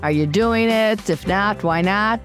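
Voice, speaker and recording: A woman's voice: mid-range voice, measured pace, soft background music. A woman hosting a podcast interview, chatty and encouraging, often drifting into digressions. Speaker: podcast host. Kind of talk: casual interview chat.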